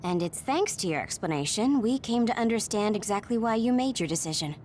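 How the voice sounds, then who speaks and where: A woman's voice: mid-range voice, animated, fairly quick, a little mellow, a little hiss or hum, close to an everyday voice. An actress playing a composed, analytical young female officer in an anime video game dub.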